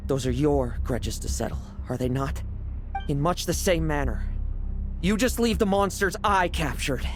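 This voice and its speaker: A man's voice: fairly high pitch, expressive, a little slow. A young man playing a cocky, sardonic young knight vice captain in a video game, theatrical and taunting. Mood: sardonic, assured. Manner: theatrical, taunting, swinging from soft to shouted. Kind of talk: dramatic video game voice acting. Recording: loud music under the voice.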